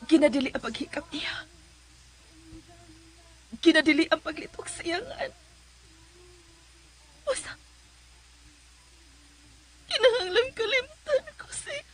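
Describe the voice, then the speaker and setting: A woman's voice: very high voice, big pitch swings, takes it slowly, pauses often, exaggerated voice, rough recording. An actress with a Filipino accent playing a volatile, tormented woman in a radio melodrama, tearful and overwrought. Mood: tearful, bitter. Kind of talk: Philippine radio drama acting.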